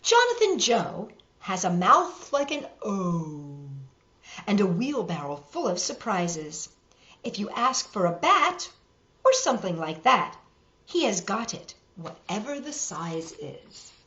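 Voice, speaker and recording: A woman's voice: medium pitch, very animated, takes it slowly, fairly bright tone, echoey room, lo-fi sound. A woman hosting a children's storytime, reading picture books aloud and chatting playfully with young viewers.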